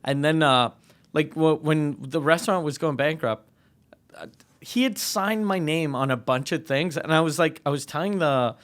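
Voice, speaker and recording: A young man with a noticeably high, husky voice, the kind that gets him mistaken for a woman who smokes. He tells stories in a loose, rambling way, full of swearing and restarts, as a regular on a comedy show. His voice sits above the usual range for men. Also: moderate pace, a bit bright. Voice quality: high-pitched voice. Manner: rambling, profane storytelling with restarts. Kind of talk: casual comedic chat.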